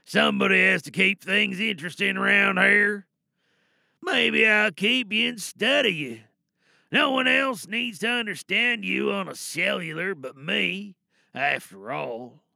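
A man's voice: high voice, gravelly, bright, forceful tone, dry recording, clean studio sound, heavily acted. A man playing a flamboyant, gloating supervillain in an audio drama monologue, savoring each phrase and secretly smitten with a captive hero.

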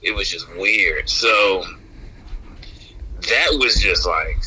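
A man with a lowish but very bright, punchy voice, guesting on a podcast. He tells stories casually, circling back over phrases with slangy, wry humor. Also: breathy edge, rough recording. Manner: repetitive, halting, slangy, wry. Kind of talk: casual anecdotal storytelling.

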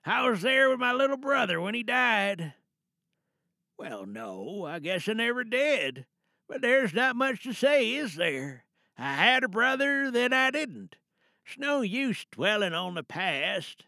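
A man playing a protective, grim older father in an audio drama monologue, speaking in a halting, deliberate and coldly calm way. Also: high-pitched, gravelly, no room sound, studio quality, heavily acted. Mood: grimly practical. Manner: halting, hushed reassurance turning cold and deliberate. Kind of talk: dramatic audio monologue, one side of a phone call.

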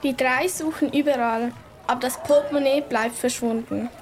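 A woman's voice: high-pitched, steady tone, moderate pace. A female narrator reading scripted story narration for a children's radio play.

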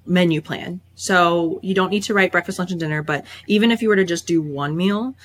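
A woman's voice: fairly low pitch, a little fast. A woman from the Chicago suburbs, a sports dietitian, chatting on a podcast in a bubbly, emphatic way with lots of "like.". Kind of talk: casual podcast interview.

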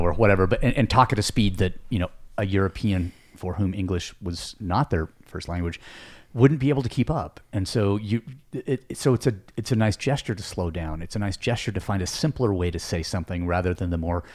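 Male podcast host; lowish voice, relaxed, easy chat with a joking streak. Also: brisk. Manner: chatty, reflective, joking. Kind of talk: casual podcast conversation.

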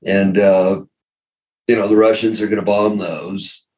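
A man's voice: lowish voice, little pitch movement, unhurried, a little mellow, recorded from a distance, low-quality recording. A man in conversation as a guest commentator, speaking with frequent fillers and restarts. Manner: hesitant, many fillers and restarts. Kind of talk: interview discussion.

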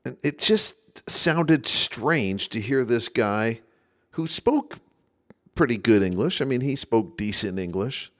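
A man's voice: medium pitch, expressive, slow, fairly bright tone. A man hosting a solo podcast, talking in a thoughtful, conversational way with occasional wry asides. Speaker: podcast host. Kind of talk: reflective solo podcast monologue.

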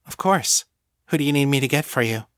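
A man voicing a helpful, easygoing woman in an audiobook, with a brisk, casual manner. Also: mid-range voice, expressive, fairly bright tone, no echo, clean studio sound, voice pushed up, close to an everyday voice. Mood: obliging, teasing. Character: Sasha, helpful, easygoing telepath woman, friendly and teasing.

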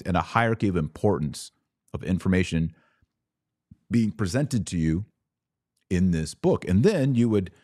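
Podcast host: American man, deep register, emphatic off-the-cuff religious commentary, very dry studio-like sound. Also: a little mellow, no room sound. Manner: emphatic, stretching key words, with conversational asides.

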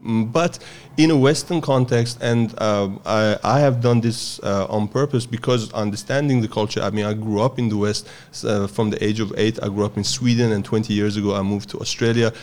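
A man from Iran speaks in a somewhat low register. He explains his practice patiently, in measured interview answers, often pausing with small hesitations. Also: fairly even intonation, darkish tone. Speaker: guest, Persian strength and yoga teacher.

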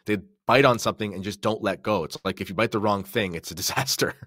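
Deep-voiced man talking religion. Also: fast, a bit bright, no room sound, clean studio sound. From Canada. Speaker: podcast guest. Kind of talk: casual religious discussion.

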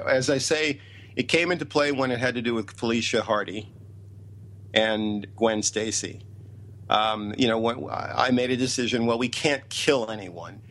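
A man's voice: mid-range voice, a bit bright, slight background noise. A middle-aged man speaking as an interview guest, opinionated and emphatic, repeating phrases to drive points home. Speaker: interview guest, TV writer and producer. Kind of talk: interview talk.

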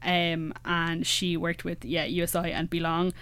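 A woman's voice: lowish voice, monotone, fairly bright tone, clean tone, dry recording. A young Irish woman in her twenties chatting casually, full of fillers and restarts. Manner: fillers, many restarts, quick asides. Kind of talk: casual chat.

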